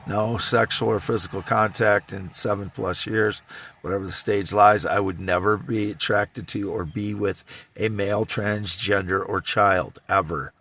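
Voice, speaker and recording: A man in his fifties with a low, scratchy voice and a Midwestern American accent, recorded dry and close. He talks in a rambling monologue, halting and circling back to repeat himself insistently. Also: fairly even intonation, fairly bright tone, no room sound. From Iowa, United States. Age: 50s.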